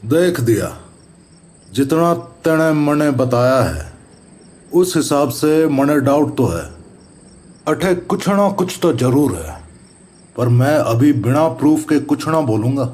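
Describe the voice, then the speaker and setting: A man playing a serious, methodical paranormal investigator in an audio horror drama, speaking deliberate rustic Haryanvi-Rajasthani Hindi. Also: fairly high pitch, animated, a little mellow. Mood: serious, cautious. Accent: Haryanvi-Rajasthani rural Hindi. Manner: deliberate, instructive. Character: methodical, serious male paranormal investigator, neighbourhood elder brother.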